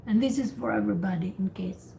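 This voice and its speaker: A woman's voice: medium pitch, fast talker, vocal fry, warm tone. A woman hosting an online English speaking practice, asking questions and giving simple explanations and corrections over a group call. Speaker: host and English speaking teacher. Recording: faint background noise on online call.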